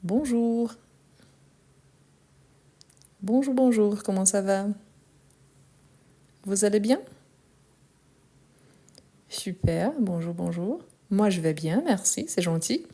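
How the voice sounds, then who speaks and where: Slow, mellow-voiced woman teaching French. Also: mid-range voice, expressive. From France and Brazil. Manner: slow, clear, repeats phrases for learners. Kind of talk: live online lesson.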